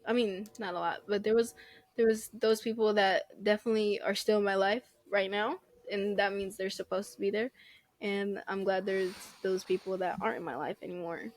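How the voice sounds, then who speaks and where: A woman's voice: medium pitch, fairly even intonation, moderate pace, no room sound. A young woman in casual podcast chat, frank and musing, often trailing off mid-thought. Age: young adult. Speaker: podcast co-host.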